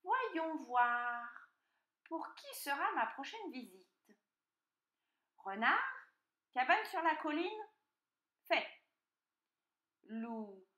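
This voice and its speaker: Woman voicing a high-pitched, wheedling young piglet. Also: bright, forceful tone, echoey room, exaggerated voice. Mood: gleeful, scheming. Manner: politely pleading, falsely innocent. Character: cunning young piglet, polite and wheedling, male. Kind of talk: children's storybook character voice.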